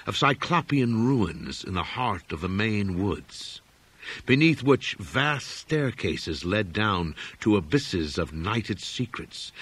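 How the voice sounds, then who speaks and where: A man reads gothic horror with a husky edge to his voice. His pitch rises and falls widely as he gives a measured, literary narration. The delivery is dramatic and expressive, suited to an old tale of dread. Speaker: audiobook narrator.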